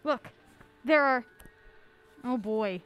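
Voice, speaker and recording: A woman playing a feisty, quick-witted young amateur sleuth with a brisk, sardonic manner in an audio drama. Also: fairly high pitch, lo-fi sound. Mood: intent.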